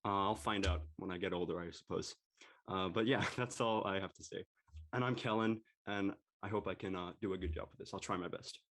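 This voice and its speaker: A teenage male actor with a fairly low voice talks casually on a group call. He sounds tentative, thinking aloud as he goes. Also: fairly even intonation, a little fast, a bit bright. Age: teens, high school student. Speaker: young actor in the cast. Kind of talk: casual chat.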